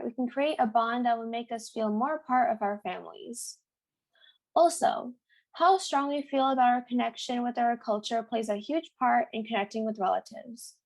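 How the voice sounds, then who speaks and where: A teenage girl co-hosting a youth podcast, reading her script in an earnest, steady way. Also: fairly high pitch. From United States. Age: teens. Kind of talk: read-aloud podcast script.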